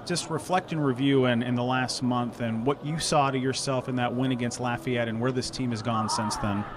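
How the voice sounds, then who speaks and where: A man's voice: mid-range voice, fairly even intonation, moderate pace, noisy background. A young man hosting a post-game interview at a ballpark, upbeat and polished as he runs through stats. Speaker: sports interviewer. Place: outdoor ballpark stands. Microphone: handheld mic. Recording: outdoor ballpark with crowd nearby.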